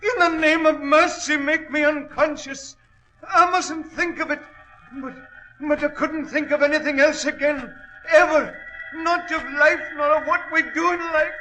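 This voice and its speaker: An earnest veteran miner in an old radio thriller. The voice is strikingly high and sharp. Words come slowly, with urgent melodrama. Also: male voice, fairly even intonation, faint music behind, full character voice, low-quality recording. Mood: anguished horror. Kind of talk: 1940s radio acting. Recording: old-time radio drama recording.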